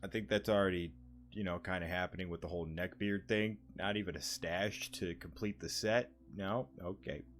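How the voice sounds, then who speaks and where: A man's voice: low-pitched, fairly even intonation, moderate pace, fairly bright tone. A man giving casual, offhand commentary on a video game he is playing, with wry asides. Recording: game music behind the voice.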